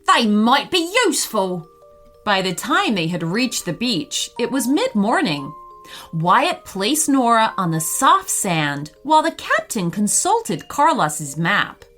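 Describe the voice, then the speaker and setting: A woman narrating a bedtime story in an expressive way for young children. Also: mid-range voice, slow pace. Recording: music behind the voice. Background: music.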